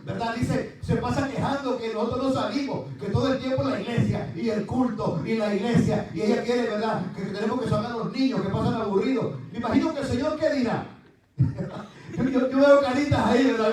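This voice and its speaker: A man preaching a sermon in Spanish-language church service, fervent and animated, acting out quotes and calling out praise. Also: extremely high pitch. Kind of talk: sermon, livestreamed church program. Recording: echoey room, mic at a distance.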